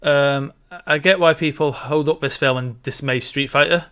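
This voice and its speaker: A man's voice: on the high side, fairly even intonation, normal speed, fairly bright tone, smooth. A man co-hosting a podcast, talking casually in a film discussion. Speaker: podcast co-host.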